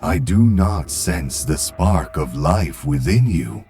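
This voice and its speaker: A man playing a serene, cryptic monk master in a game fan dub, calm and formal. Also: very deep voice, slow, exaggerated voice. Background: music.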